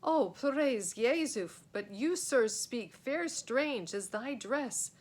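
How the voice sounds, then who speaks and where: Woman playing a courtly, slow-spoken noble knight. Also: a little high, expressive. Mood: reverent, earnest. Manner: formal, archaic. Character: courtly, earnest, tall male knight of legend.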